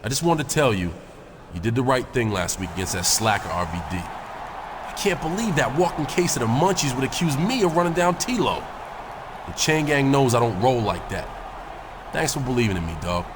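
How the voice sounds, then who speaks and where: A man plays a cocky video game wrestler with an average-pitched voice. He swaggers through street slang with a defiant, touchy edge, over noticeable background noise. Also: animated, normal speed, natural voice. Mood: chummy, indignant. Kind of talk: video game cutscene acting.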